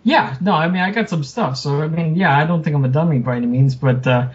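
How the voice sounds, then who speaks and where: A man's voice: fairly high pitch, fast. A man being interviewed as a podcast guest, talking modestly and hesitantly, with many fillers. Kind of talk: casual interview. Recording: muffled lo-fi recording.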